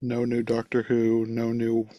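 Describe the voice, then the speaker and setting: A man's voice: medium pitch, monotone, unhurried, mellow, no room sound. A man hosting a podcast in a casual, conversational style. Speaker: podcast host.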